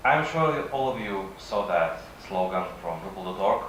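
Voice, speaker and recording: A man with a Russian accent, a native Russian speaker, giving a halting conference presentation with frequent pauses. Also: low-pitched, expressive, a bit bright. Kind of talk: conference presentation, semi-improvised. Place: conference session room. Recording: echoey room from far off, faint noise.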